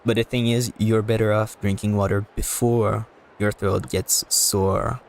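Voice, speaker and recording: A man's voice: on the low side, little pitch movement, measured pace, gravelly. A man streaming a video game, chatting casually in a rambling, self-deprecating way. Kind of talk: casual livestream chat and game commentary.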